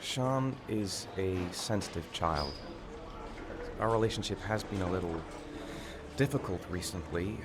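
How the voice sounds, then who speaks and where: An actor voicing a distraught father being questioned by the police about his missing son, speaking haltingly. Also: low voice, lively intonation. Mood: shaken. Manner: halting, shaken. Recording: game audio with ambient noise.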